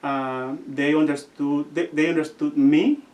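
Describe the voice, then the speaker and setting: A young man with a Guatemalan accent speaks in a fairly high voice, telling his stories in a casual interview. He often stops mid-sentence to hunt for the right word. He sits a little back from the microphone. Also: fairly even intonation, a little mellow. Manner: halting, searching for words. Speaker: seminarian guest. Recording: distant from the mic.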